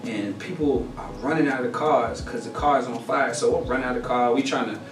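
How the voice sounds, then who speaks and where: A man who writes songs, a guest telling stories in a casual interview, animated and slangy. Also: mid-range voice, fast talker. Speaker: guest songwriter. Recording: echoey room, distant mic, noisy.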